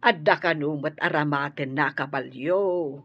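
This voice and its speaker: An actress playing a calm elderly wife and mother with a husky voice in a radio drama. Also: sits low, a little slow, dry recording, heavily acted. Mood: placid. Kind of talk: Ilocano radio drama acting. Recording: dull radio recording.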